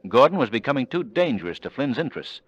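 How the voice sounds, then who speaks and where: An actor playing a confident, wry amateur sleuth who talks smoothly and sardonically in an old-time radio drama. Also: male voice, mid-range voice, sing-song, quick pace, no echo. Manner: smooth-talking, sardonic, assured. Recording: dull old radio recording.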